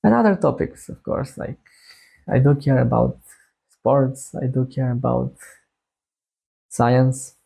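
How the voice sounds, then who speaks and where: Slow, husky Bulgarian-accented man. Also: mid-range voice, animated, dark tone. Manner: halting, hesitant delivery with long phrasing breaks. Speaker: YouTuber introducing a music channel. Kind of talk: casual to-camera monologue.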